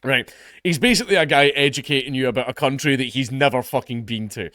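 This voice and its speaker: A man's voice: quick pace, no echo, studio quality. A Scottish man commenting on an article in a casual, sarcastic, sweary way, mocking the people he quotes. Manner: reads article passages in a lower, quieter voice. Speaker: online commentator.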